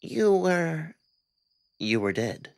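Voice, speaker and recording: A man's voice: a little high, very animated, extremely slow, vocal fry, a little mellow, no echo, clean studio sound, voice pushed up, exaggerated voice. A man voicing an anxious, prying male alien cat in a visual novel drama, questioning and evasive. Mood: stunned.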